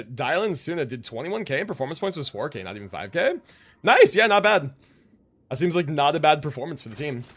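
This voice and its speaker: A young man chatting casually to his livestream viewers as a game streamer, informal and rambling, with free swearing. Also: a little high.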